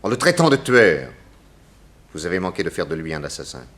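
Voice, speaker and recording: An actor playing a shrewd, authoritative detective in a 1960s French radio drama, theatrical, deliberate and accusatory. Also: male voice, low-pitched, very animated, a bit bright. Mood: stern, explaining.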